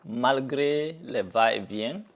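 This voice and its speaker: A middle-aged man from Guinea making a deliberate public statement, speaking haltingly with frequent pauses and fillers. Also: medium pitch, lively intonation, gravelly. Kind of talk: slow, deliberate video statement.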